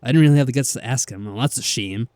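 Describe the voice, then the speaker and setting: A man doing casual let's play commentary, reading game text aloud with animated, mildly sarcastic reactions and some swearing. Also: mid-range voice, fast talker, dry recording, clean studio sound. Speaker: let's player. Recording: music behind the voice.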